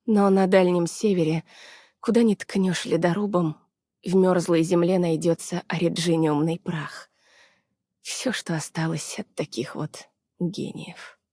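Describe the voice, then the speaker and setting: An actress voicing a weary, anxious young woman scientist from the frozen north in a game dub, soft and hesitant. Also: fairly low pitch, steady tone, measured pace, dry recording. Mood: melancholy, weary. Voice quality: soft, husky, breathy. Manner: hesitant, subdued, apologetic. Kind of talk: Russian dub of game character voice lines.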